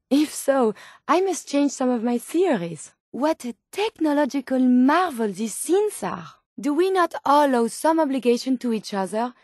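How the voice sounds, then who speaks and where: A woman's voice: sits high, a little mellow, no echo, studio quality. An actress voicing a polite, curious and tender female robot scientist in a video game, with a French accent and precise, formal phrasing. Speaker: video game companion character. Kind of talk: video game companion dialogue.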